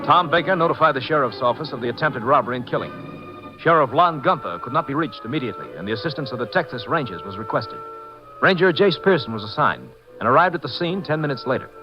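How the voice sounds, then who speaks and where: An actor as the sober narrator of a crime story in a 1950s radio drama. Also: male voice, medium pitch, expressive, fast talker, close to an everyday voice. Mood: sober, urgent. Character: brisk, sober narrator of a true crime tale. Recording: muffled old-time radio recording. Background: music.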